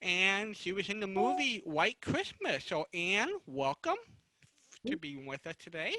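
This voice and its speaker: Male panel moderator, high and bright, conversational, halting delivery. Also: big pitch swings, no room sound. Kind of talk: unscripted panel introductions.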